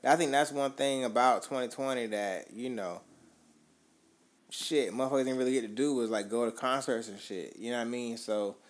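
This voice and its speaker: A man's voice: mid-range voice, normal speed. Rambling man, casual podcast. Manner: rambling, profane, trailing off quietly. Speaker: podcast co-host. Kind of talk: casual chat.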